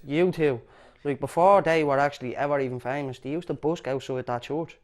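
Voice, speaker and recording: A man's voice: fairly high pitch, brisk. A man with a Dublin accent speaking earnestly in an interview about his home.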